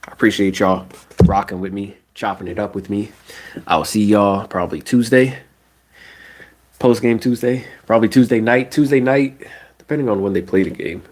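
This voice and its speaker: A man's voice: fairly low pitch, normal speed. A young man with a stuffy, nasal voice from a cold, ranting casually about basketball on a live stream. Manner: animated ranting, casual slang. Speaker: sports streamer.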